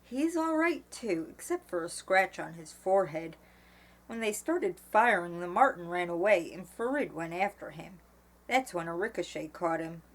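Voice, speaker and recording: Woman doing a tired dad in a storybook, calm n wry, understated. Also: fairly low pitch, normal speed. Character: calm, weary, wry grown man and father.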